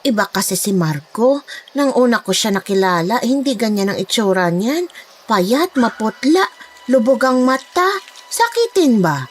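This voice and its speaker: Frightened nanny, high voice, gossipy, respectful, horror drama dialogue, faint music. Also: female voice. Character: talkative, frightened Filipina nanny sharing a scary secret.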